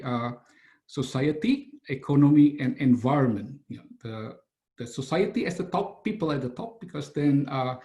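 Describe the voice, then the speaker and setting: A middle-aged man from Indonesia, giving a webinar lecture over a video call, halting and full of "uh" fillers and restarts. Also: medium pitch, mellow. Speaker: engineering professor.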